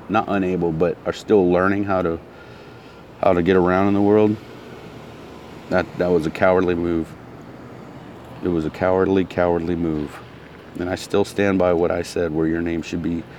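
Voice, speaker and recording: A low-pitched man rants bluntly and heatedly, hammering his points through repetition. His throat is still recovering from a sore spell. Faint traffic hums behind him outdoors. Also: darkish tone. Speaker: vlogger. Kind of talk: casual ranting monologue.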